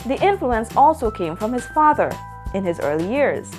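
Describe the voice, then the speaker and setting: A woman's voice: a little high, sing-song, a little mellow. Woman narrating over music. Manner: measured, rising on questions. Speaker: reporter narrating a feature. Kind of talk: scripted news feature narration. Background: music bed.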